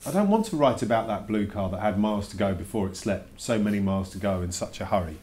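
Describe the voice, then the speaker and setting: A man's voice: fairly low pitch, expressive, fast talker, mellow, slight background noise, lightly acted. A man voicing a reluctant schoolboy who puts emphatic, grudging questions to his teacher in a children's storybook read-aloud. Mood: grumbling, questioning. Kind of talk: dramatic read-aloud of a children's verse novel.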